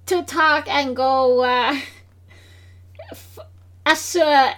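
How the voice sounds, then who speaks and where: A woman's voice: high voice, very slow, fairly bright tone. A woman with a Dutch accent, a non-native English speaker, hosting a casual podcast chat and talking hesitantly with many fillers and restarts. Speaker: podcast host.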